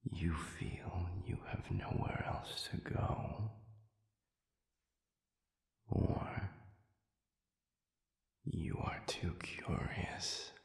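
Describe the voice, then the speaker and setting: A man voicing an ancient, solemn celestial being in an audio roleplay, speaking in a breathy whisper with formal, archaic phrasing and halting pauses. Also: deep voice, heavily acted. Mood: calm, coaxing. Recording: reverberant, echoey sound.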